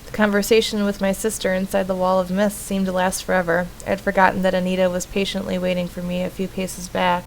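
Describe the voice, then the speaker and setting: A woman's voice: lowish voice, flat delivery, fast talker, few pauses, poor audio. A woman reading a visual novel aloud and commenting as she plays. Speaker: streamer playing a visual novel. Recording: faint background noise.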